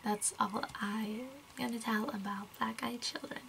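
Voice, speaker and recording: A woman's voice: on the high side, fairly even intonation, unhurried, vocal fry. A young woman from Sweden with a Swedish accent, rambling casually through a spooky tale in a vlog and dropping often into whispers. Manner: chatty, rambling, slips into whispers and giggles. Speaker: YouTuber. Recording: loud spooky background music.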